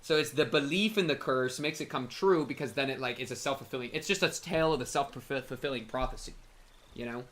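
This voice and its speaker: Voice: mid-range voice, brisk, fairly bright tone. A streamer giving casual, wry, slangy reaction commentary and reading game narration aloud. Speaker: streamer playing and reacting to a visual novel.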